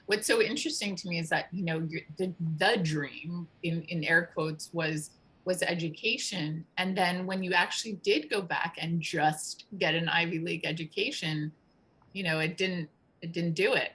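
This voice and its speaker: A woman hosting an interview, affirming and responding quickly to her guest. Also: lowish voice, a bit bright, poor audio. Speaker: interview host. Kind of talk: conversational interviewing.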